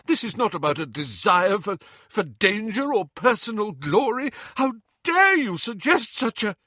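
A man's voice: mid-range voice, sing-song, slow, bright, forceful tone, slightly rough, dry recording, studio quality, exaggerated voice. A man reading a storybook, voicing an anguished grown man who strains and pleads, then rises into anger. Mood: outraged.

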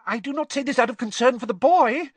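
A man voicing a timid, servile wizard henchman in an audiobook, squeaky, anxious and pleading, words tumbling out in a rush. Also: quick pace, slightly rough, dry recording.

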